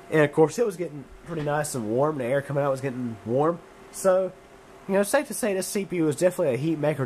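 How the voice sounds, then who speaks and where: A hoarse man getting over a cold, talking casually about his computer in a home room warmed by a wood stove. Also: a little high, a little hiss or hum. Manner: rambling, many fillers and restarts, chuckling. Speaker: computer hobbyist vlogger. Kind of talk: casual tech vlog.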